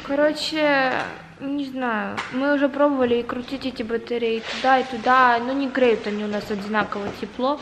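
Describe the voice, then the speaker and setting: Chatty high-voiced young woman vlogging. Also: reverberant. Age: young adult. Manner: chatty, bubbly, quick shifts into excited high tones. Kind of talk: casual vlog chat to camera. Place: chilly house living room, indoors. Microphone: handheld camera at arm's length. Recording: noisy home camera audio.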